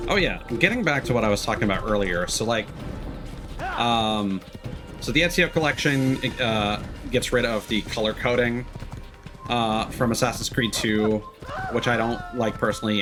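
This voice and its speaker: A low-pitched man chats casually on a gaming livestream. He rambles and halts as he finds his thoughts, with loose, sweary phrasing. Music plays clearly behind his voice. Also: normal speed. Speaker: game streamer.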